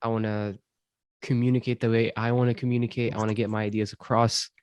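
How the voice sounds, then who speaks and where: A man from Syria, host of a self-improvement podcast, answering interview questions in a thoughtful, measured way with frequent ums. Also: medium pitch, little pitch movement, a little mellow, clean tone, no room sound. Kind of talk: reflective conversational interview.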